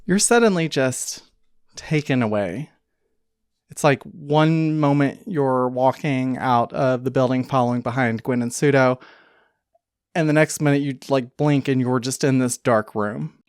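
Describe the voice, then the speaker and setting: A woman runs a tabletop game as game master. She describes scenes in a conversational, halting way, in a dry, close recording. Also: extremely low pitch, darkish tone, clean tone, no room sound. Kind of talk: improvised tabletop game narration.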